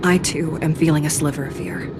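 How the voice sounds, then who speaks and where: A woman voicing a stoic, solemn judge with an artificial body in an anime-style game, formal, measured and composed. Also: low voice, fairly even intonation, noisy background, lightly acted. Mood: grave, resolute.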